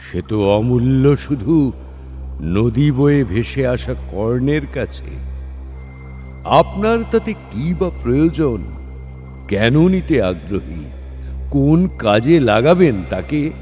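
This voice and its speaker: A man playing a proud, wounded, generous epic warrior in formal, declamatory dramatic verse over music. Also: mid-range voice. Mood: shaken, questioning. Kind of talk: dramatic Bengali verse recitation. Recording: studio voice over soft music.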